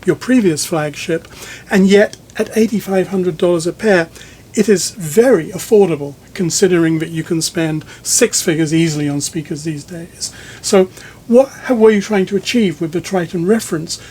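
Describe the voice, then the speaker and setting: A man with a noticeably high-pitched voice leads a technical interview. He talks conversationally, choosing his words thoughtfully and pausing mid-phrase as he builds each question. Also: darkish tone, slightly rough. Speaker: magazine editor interviewing a speaker designer. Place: listening room in a home.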